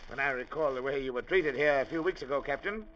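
An actor in an old radio drama playing a pompous, effusive small-town judge who speaks like an orator. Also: male voice, mid-range voice, quick pace, natural voice. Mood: effusive, flattering. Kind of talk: 1950s radio drama acting. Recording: muffled, lightly noisy old radio recording.

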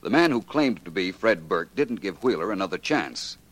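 An American man playing a shrewd, wry detective in a 1940s radio drama, speaking deliberately and with self-assurance. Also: sits low, big pitch swings, a bit bright, heavily acted. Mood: confident explaining. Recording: vintage 1940s radio recording.

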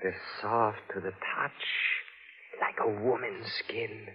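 An actor playing a haunted, fragile gardener with an overwrought, halting delivery in a 1940s radio drama. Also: male voice, fairly low pitch, fairly even intonation, husky, full character voice. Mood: hushed, mournful. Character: haunted, fragile gardener widower confessing to madness and killing. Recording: dull old radio broadcast recording.

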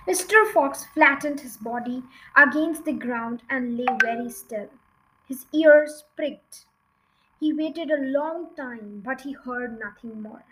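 A woman's voice: high-pitched, slow pace, a bit bright, slight background noise, rough recording. A woman reading a children's storybook aloud as an audiobook narrator. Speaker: audiobook reader.

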